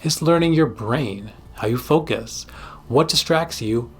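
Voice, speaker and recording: A man's voice: medium pitch, a little slow, breathy edge. A man speaking as a psychiatrist and host, presenting a scripted educational explainer with emphatic, direct address to the listener.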